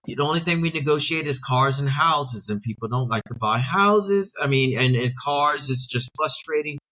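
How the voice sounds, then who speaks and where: A man's voice: a little high, lively intonation, moderate pace, bright, forceful tone, highs cut off. A middle-aged man, a sales coach, talking emphatically in an interview and using rhetorical questions and repetition. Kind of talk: podcast interview.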